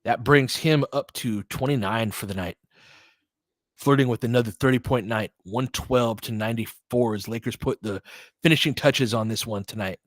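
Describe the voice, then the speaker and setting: A man's voice: mid-range voice, normal speed, no echo. A man doing informal basketball play-by-play as a host, chatting conversationally and thinking aloud. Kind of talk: casual basketball commentary mixed with chat replies.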